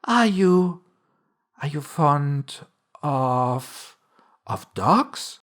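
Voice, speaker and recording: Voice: medium pitch, lively intonation, unhurried, fairly bright tone, pitched above the performer's own voice. A narrator voicing a polite, eager little storybook girl who talks in an earnest, chatty, apologetic way. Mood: hasty.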